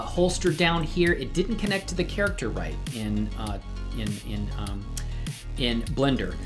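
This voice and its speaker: A woman hosting a live software tutorial, chatting casually and thinking aloud as she demonstrates. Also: low voice. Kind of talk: casual tutorial livestream chat. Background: music playing behind the voice.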